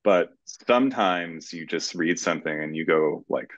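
A middle-aged man speaking in a halting, measured way as a screenwriter in a casual interview over a video call. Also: sits low, lively intonation, fairly bright tone, dry recording. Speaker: screenwriter guest. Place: room at home, indoors. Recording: dull, muffled video call audio.

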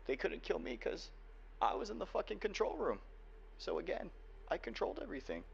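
A young man playing a guarded, protective cyberpunk hacker boyfriend in an ASMR roleplay, speaking earnestly and haltingly. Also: sits high, very animated, creaky, full character voice. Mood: bitter, angry. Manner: earnest, halting delivery with sighs. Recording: dull lo-fi home recording, faint noise.

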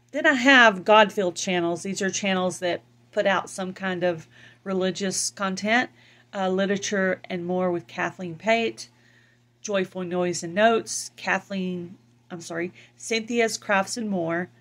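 A woman's voice: mid-range voice, a bit bright. A woman hosting a video channel, talking casually and informally to her viewers. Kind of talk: casual vlog chat. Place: indoors, filming a laptop screen.

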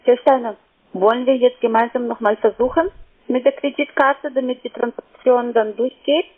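Polite high-voiced woman on a phone line. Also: steady tone, moderate pace. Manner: polite, businesslike. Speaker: call centre sales agent. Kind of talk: scripted-sounding phone sales talk, polite. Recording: thin phone-line sound.

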